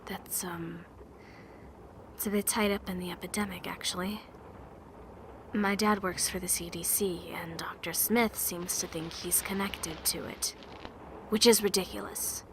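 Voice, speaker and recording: A woman playing a stoic, sincere, protective young woman in a fan audio drama, speaking softly and with reserve. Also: on the low side, fairly even intonation. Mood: worried, defensive. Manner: soft, measured, reserved. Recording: clearly noisy amateur voice track.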